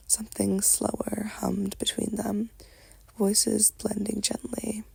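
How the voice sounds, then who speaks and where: A woman softly narrating an ASMR story in a breathy, crackly whisper. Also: mid-range voice, no room sound.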